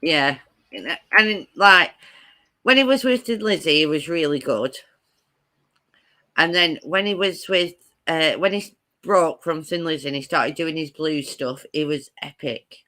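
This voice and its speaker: Bright, playful woman laughing a lot. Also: low voice, measured pace. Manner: laughs often, playful teasing. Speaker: co-host of live chat stream. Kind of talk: casual live-stream banter.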